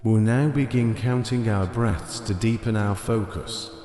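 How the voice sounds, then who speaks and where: A man narrating a scripted guided meditation in a gentle, unhurried manner, with short phrases spaced apart. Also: fairly low pitch, fairly even intonation. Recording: echoey long reverb over ambient music. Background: soft ambient music.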